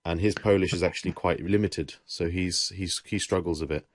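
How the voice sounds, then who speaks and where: Young English guy, deep voice, laid-back rambling storyteller, dry mic. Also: normal speed, no room sound. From England. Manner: relaxed, rambling, with self-corrections. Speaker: podcast host. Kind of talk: casual chat.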